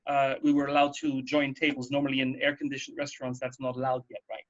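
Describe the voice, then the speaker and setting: A man's voice: mid-range voice, monotone, quick pace. A man co-hosting a radio show from home in a casual, chatty, explanatory way, often checking in with a "right" or "yeah". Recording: dull, lo-fi home recording.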